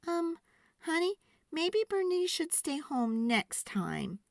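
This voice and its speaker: A woman voicing a patient, practical storybook mother, tactful and gently coaxing, with small hesitations. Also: very high-pitched, big pitch swings, takes it slowly, gravelly, no room sound, studio quality, voice pushed up, full character voice.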